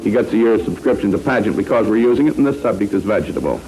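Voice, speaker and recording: Male quiz host, 1940s radio, animated pitch, joking asides, faint noise. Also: rapid-fire. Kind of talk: 1940s radio quiz hosting, unscripted banter. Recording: noisy vintage radio broadcast.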